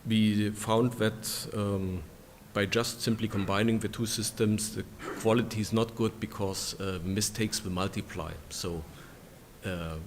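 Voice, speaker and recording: A man's voice: on the low side, long reverb tail, slight background noise. A man co-presenting at a conference, explaining results with frequent hesitations. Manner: hesitant, filler-heavy. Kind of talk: lecture explanation.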